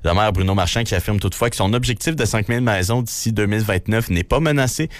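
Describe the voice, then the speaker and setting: Quebec French man, radio news and traffic reader, fairly low voice, dry close sound, matter-of-fact with casual slangy asides. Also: fast, no echo.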